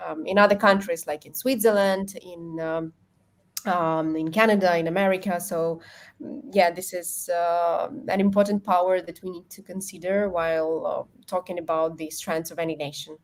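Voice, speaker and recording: A woman with a Ukrainian accent answering interview questions in English, measured and thoughtful, with frequent ums. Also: on the low side, steady tone. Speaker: guest.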